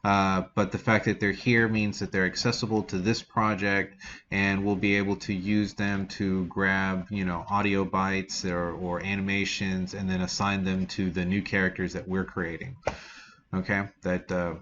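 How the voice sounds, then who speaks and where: A man with a fairly low voice leads a casual screen-recorded tutorial. He explains things informally and rambles a little, like an instructor talking while he works. The recording is dull and lo-fi, with faint noise underneath. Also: level tone, moderate pace, fairly bright tone. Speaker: game development instructor. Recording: dull lo-fi mic with background noise.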